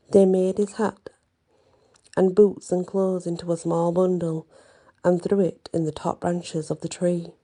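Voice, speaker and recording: A woman telling a bedtime story in an ASMR style, with deliberate, dramatic phrasing. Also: lowish voice, creaky, dark tone.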